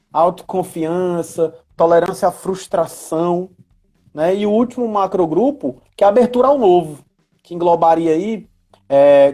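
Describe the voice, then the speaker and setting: A Brazilian woman talks through ideas in an informal live conversation. Her voice is husky and mellow, sitting a little low for a woman. She teaches as she chats, often seeking agreement with short tag questions. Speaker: guest explaining role-playing games in education and work. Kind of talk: casual explanatory live chat.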